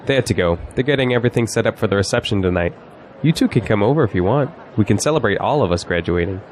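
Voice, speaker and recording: An actor playing a confident, sarcastic young college graduate in an audio drama, with wry, joking delivery. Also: male voice, on the low side, expressive, fast talker, a little mellow, close to an everyday voice. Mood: wry, casual. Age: young adult. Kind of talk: scripted audio drama dialogue. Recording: faint background noise, outdoor crowd ambience.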